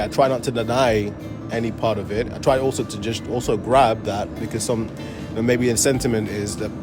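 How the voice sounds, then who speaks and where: Laid-back man with a London accent. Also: mid-range voice. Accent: London English. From France, raised in Portugal and London. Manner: loose, laughing, many fillers and restarts. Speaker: guest comedian. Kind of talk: casual interview chat.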